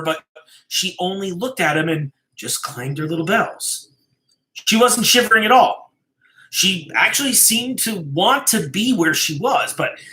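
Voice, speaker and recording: A man reading a story aloud as a dramatic storyteller, deliberate and suspenseful, stressing key phrases and dropping to hushed endings. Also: sits high, poor audio. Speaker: storyteller, host of a live reading show.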